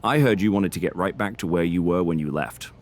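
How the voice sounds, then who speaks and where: A diplomatic general manager in a wrestling game. His voice is deep, and he talks in formal, slightly florid phrases, always placating and negotiating. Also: fairly quick. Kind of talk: scripted video game cutscene acting.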